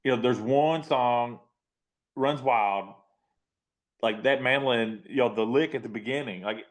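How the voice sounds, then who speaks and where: A man with a baritone voice hosting a casual conversation, often repeating words as he starts a thought. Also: unhurried. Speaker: host.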